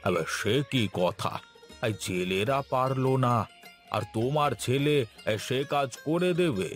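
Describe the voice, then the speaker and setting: A male actor plays a lazy village landowner from a cartoon. He blusters and exclaims, stretching out his interjections and getting flustered easily. Music plays along behind his mid-pitched voice. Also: unhurried, natural voice. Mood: alarmed, fretful. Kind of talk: Bengali cartoon voice acting.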